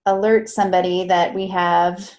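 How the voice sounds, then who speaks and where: A woman's voice: on the low side, level tone, moderate pace, darkish tone. A woman coaching students in a live online grammar lesson. She is chatty, often stops to correct herself and laughs at small mishaps. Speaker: Spanish interpreting coach.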